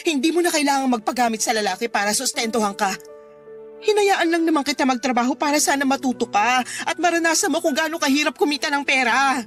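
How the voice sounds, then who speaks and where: An actress playing a worried, protective middle-aged mother, earnest and pleading, in a Filipino radio drama. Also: high voice.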